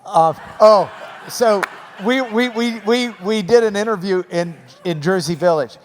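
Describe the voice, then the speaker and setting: Higher-voiced man hosting, echoey hall. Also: measured pace. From Texas. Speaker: interviewer, event host. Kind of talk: conversational public interview. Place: large hall event with sound system.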